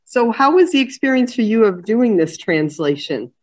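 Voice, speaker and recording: Woman host asking gentle questions. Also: on the high side, big pitch swings, normal speed. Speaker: podcast host. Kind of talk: casual interview.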